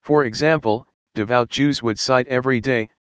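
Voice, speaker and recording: A man reading a scripted Bible study in a measured, earnest, explanatory way. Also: mid-range voice, clear, resonant tone, no room sound. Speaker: Bible teacher.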